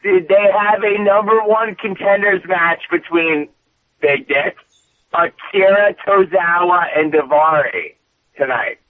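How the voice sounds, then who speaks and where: A high-energy man with a booming voice, co-hosting a casual wrestling recap and swearing freely. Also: slow pace, no room sound. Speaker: podcast co-host. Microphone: phone call. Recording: dry tinny phone line.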